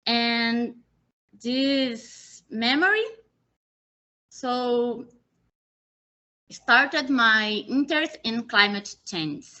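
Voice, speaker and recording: Slow Brazilian woman on a video call. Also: fairly high pitch, sharp tone. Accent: Brazilian Portuguese. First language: Portuguese. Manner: slow, halting non-native English with restarts. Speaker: presenter, PhD student. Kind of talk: webinar presentation. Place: small indoor room. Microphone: video call, laptop mic. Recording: muffled video call audio.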